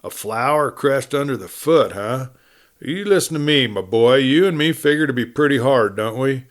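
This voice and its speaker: A man's voice: medium pitch, animated, normal speed, natural voice. A man voicing an opinionated, tough cowboy in a storybook western, lecturing in a folksy American Western drawl. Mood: pitying, preachy.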